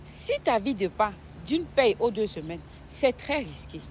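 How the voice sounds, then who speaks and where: A woman from Cameroon, a nurse, making a direct persuasive sales pitch to the listener. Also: big pitch swings, measured pace, a little hiss or hum. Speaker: nurse promoting an online business.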